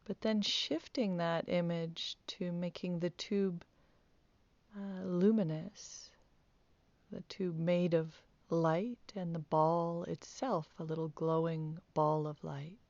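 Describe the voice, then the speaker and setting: A woman teaching guided meditation, speaking softly with calm, gentle instructions and long pauses. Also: fairly low pitch, no room sound, muffled recording.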